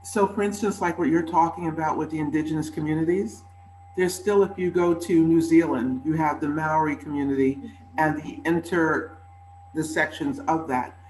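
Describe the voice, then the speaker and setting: Male panelist, slightly high voice, roomy call audio with low noise. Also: steady tone, normal speed, darkish tone. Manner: earnest, filler-heavy, self-correcting. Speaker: conference panelist. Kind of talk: casual panel discussion. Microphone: video call. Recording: echoey room with faint hum.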